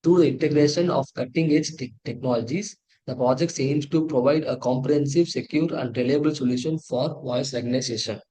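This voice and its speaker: A man with an Indian accent presenting a technical project walkthrough in a halting, repetitive way, often correcting himself. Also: mid-range voice, dark tone, poor audio. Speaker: presenter of an engineering project demo. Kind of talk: tutorial walkthrough, partly read from slides.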